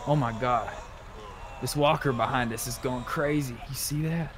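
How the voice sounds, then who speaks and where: A man's voice: medium pitch, normal speed. A man chatting casually as a player in an online roleplay game. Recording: faint background noise, game vehicle sounds.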